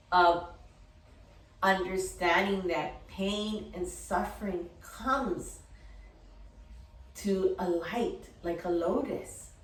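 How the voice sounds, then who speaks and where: A middle-aged woman tells a personal story in a casual, rambling way, slipping into remembered dialogue as she goes. Her pitch is average for a woman. She sounds set back from the microphone in a room with clear echo. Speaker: dog-business entrepreneur and course creator. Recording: roomy echo, distant from mic.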